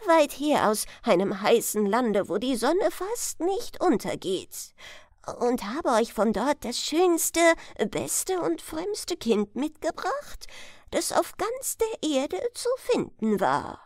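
A woman's voice: high-pitched, sing-song, slow pace, a little mellow, no echo, clean studio sound, full character voice. A woman voicing a wise old male stork in a storybook tale, earnest and proclaiming solemnly. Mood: proud announcing. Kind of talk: storybook character voice.

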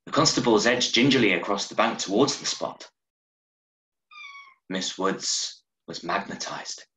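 A man in his thirties reading a short story aloud in a measured, dramatic way, recorded at home over a video call. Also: lowish voice, expressive, sharp tone. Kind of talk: expressive audiobook-style story narration. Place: small room at home, indoors. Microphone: video call from a desk, wearing earphones. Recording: roomy, echoing home webcam recording.

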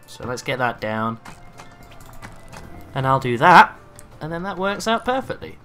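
A man with a bright, forceful voice and a slightly raspy throat from a cough, chatting excitedly as he plays. He swears casually while commenting. Faint music sits underneath. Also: medium pitch, a little slow. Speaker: gaming video creator. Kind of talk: live gameplay commentary.

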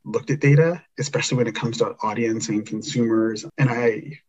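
Podcast guest, man, earnest explainer, husky voice, slightly muffled remote audio. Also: mid-range voice, a little fast, a little mellow. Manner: earnest, explanatory, tag questions. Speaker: podcast guest, company founder. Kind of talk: conversational interview.

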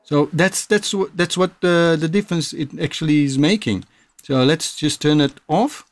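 A man's voice: on the high side, normal speed, dry recording. A man presenting a music production tutorial in a casual, explanatory, informal way. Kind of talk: casual software tutorial narration.

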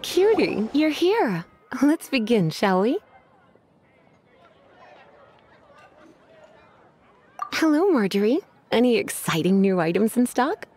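Flirtatious witch librarian, highish voice, lilting and animated, teasing and languid, game dialogue. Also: a little hiss or hum. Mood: playful, sly. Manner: teasing, drawn-out, sighing delivery. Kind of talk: video game character acting.

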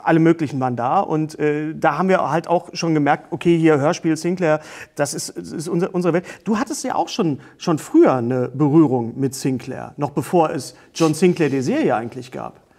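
A middle-aged man hosting a casual interview, enthusiastic and quick to interject. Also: on the high side, darkish tone. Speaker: talk show host. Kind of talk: lively casual interview chat. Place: small indoor studio set, seated at a table.

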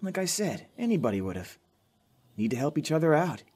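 A man's voice: fairly high pitch, big pitch swings, moderate pace, darkish tone, husky. A man with an American accent playing an earnest, jittery, caring young survivor in a zombie apocalypse audio drama. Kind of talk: fan audio drama acting.

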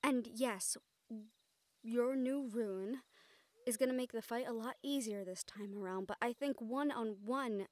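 A woman playing an excitable, caring young mage in an intimate audio roleplay, with animated, halting delivery. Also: fairly high pitch, no room sound, lightly acted. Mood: gentle, resolute. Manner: animated, halting, swearing freely.

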